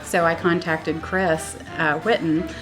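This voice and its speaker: Woman from Kansas, lowish voice, chatty, music clearly behind. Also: flat delivery, takes it slowly, low-quality recording. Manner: chatty, laughs easily. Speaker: community assistant. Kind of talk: interview answers.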